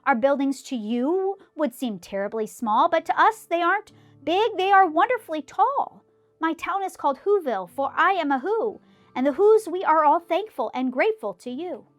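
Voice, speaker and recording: A woman's voice: high-pitched, animated, normal speed, faint music behind, pitched above the performer's own voice. Woman doing a tiny, piping mayor. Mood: grateful. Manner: eager, grateful, piping. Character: tiny cheerful male mayor of a small town.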